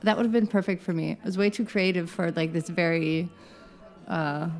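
Creaky-voiced chatty woman. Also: lowish voice, moderate pace, faint music behind. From United States (Southern Oregon). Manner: chatty, laughs often, rambling asides. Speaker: podcast guest. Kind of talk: casual chat.

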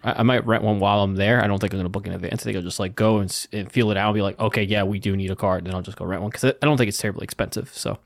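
Brief: easygoing male podcast co-host, lowish voice, dry close mic, joking manner. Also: steady tone, rapid-fire, gravelly, few pauses, no room sound. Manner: teasing, laid-back, joking. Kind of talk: casual gaming podcast chat.

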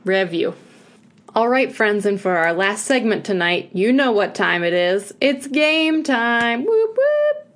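A woman's voice: on the high side, sing-song, slow pace. A woman co-hosting a podcast in casual chat, gushing and chatty, with lots of filler "like"s. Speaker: podcast co-host.

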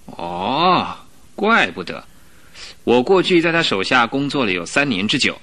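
Lively, easily astonished young clerk. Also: male voice, medium pitch, unhurried. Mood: modest, curious. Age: young adult. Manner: modest, hesitant, wondering. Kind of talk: Mandarin radio drama acting.

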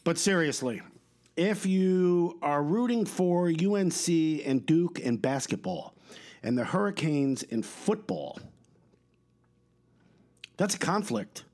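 A man's voice: fairly high pitch, lively intonation, takes it slowly, long pauses, a little mellow. A man hosting his own podcast, talking in a blunt, profane, rambling way, his voice a little stuffed up and slightly coughy. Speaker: podcast host. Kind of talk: casual solo podcast rant.

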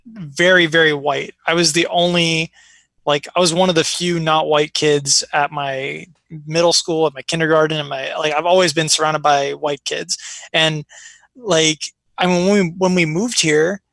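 Creaky, bright-voiced American man chatting. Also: on the high side, fairly even intonation, moderate pace, dry recording. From born in Iraq, raised in Chicago, United States. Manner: rambling, informal, frequent filler "like". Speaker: interview guest. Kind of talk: casual conversation, personal stories.

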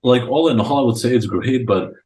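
A man's voice: mid-range voice, a little fast, darkish tone. A young man from Croatia telling stories informally and enthusiastically as a guest in a casual interview. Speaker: podcast guest, chess coach. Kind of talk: casual interview chat, storytelling.

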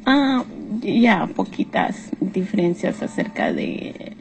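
A woman answers survey questions casually. Her pitch moves in a lively way and often drops into creak, over clearly audible background noise. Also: slow. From Guatemala. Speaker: survey respondent. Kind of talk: casual spoken interview answers. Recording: noisy on-location street-interview recording.

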